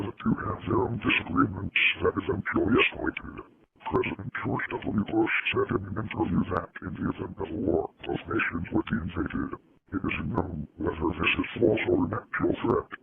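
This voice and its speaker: A man playing a grim radio announcer, reading a formal scripted emergency bulletin in a gravelly voice. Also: sits low, heavily acted. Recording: tinny radio-like band, noisy with clicks.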